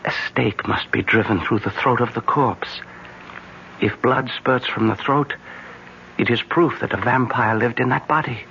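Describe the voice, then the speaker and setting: A man playing a grave, urgent villager in old-time radio drama, with an ominous, deliberate, theatrical delivery. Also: mid-range voice, fairly even intonation, sharp tone. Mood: ominous, insistent. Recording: muffled, noisy old radio recording.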